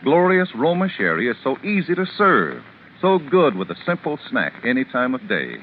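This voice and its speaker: A man's voice: mid-range voice, huge pitch swings, normal speed. A man announcing a 1940s radio commercial in a polished, emphatic sales style. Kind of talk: 1940s radio advertisement read. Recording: narrow, faintly noisy old radio recording.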